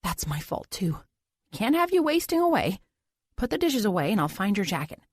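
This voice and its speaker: A woman's voice: mid-range voice, very animated, normal speed, husky, dry recording, studio quality. A woman voicing a creepy, eager, doting man who holds someone captive, in audiobook dialogue. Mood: coaxing, fond. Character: creepy, eager, doting adult male captor.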